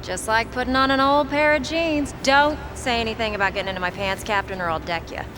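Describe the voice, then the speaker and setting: An actress playing a tough American military pilot with an American accent and dry, bantering delivery. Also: female voice, fairly high pitch, a little fast, close to an everyday voice. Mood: wry, teasing. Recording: clear outdoor vehicle noise behind.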